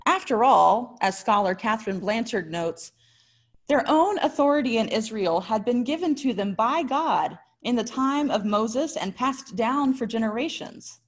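A woman in her thirties with an American accent preaches in a fairly high voice. She speaks as a pastor working from prepared remarks, keeping the delivery conversational. Her storytelling is expressive and articulate. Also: measured pace. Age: 30s. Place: small indoor room.

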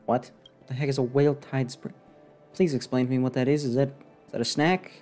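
A young man gives live game commentary in a mellow, medium-pitched voice. He sounds sarcastic, deadpan and fed up, and speaks close to the mic with music faintly behind him. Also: a little fast. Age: young adult. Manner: deadpan, mocking, exasperated. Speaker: streamer playing and reacting to a game. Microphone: desk microphone close up, with headset.

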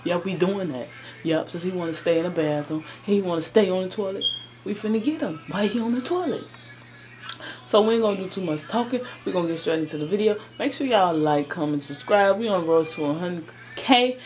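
A woman's voice: on the low side, expressive, a little fast, darkish tone. Hyped young woman vlogger. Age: young adult. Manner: hyped, informal, slangy. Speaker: vlog host, mother. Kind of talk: casual prank vlog. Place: small bedroom at home. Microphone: camera at arm's length.

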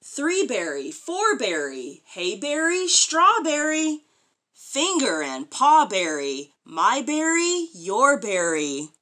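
Expressive woman reading to a child, lively pitch. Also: slow. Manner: sing-song, expressive, child-directed. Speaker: storyteller reading a children's picture book aloud. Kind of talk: read-aloud to a young child, with questions and commentary. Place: small furnished room indoors.

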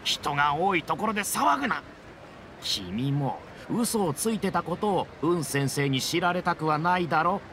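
A man's voice: fairly high pitch, normal speed, slight background noise. An actor playing a pushy, smug middle-aged male reporter in a video game, with an oily, scornful way of talking. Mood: irritated, scolding. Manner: oily flattery turning to sneering scorn. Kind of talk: dramatic Japanese video game voice acting.

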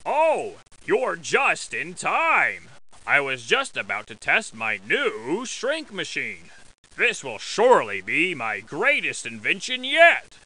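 An eerie, gleeful cartoon schoolteacher for a video game. The voice is piercingly bright and swoops wildly up and down. He speaks emphatically, with over-the-top enthusiasm. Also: fairly high pitch, huge pitch swings, takes it slowly, exaggerated voice, rough recording. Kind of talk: video game character voice lines.

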